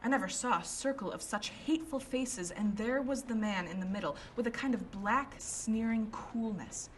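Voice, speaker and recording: A woman's voice: on the high side, normal speed, lightly acted. Woman acting a dramatic Victorian lady storyteller, noisy backdrop. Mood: indignant, dramatic. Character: Mrs. Enfield, well-known Victorian woman about town, vividly recounting.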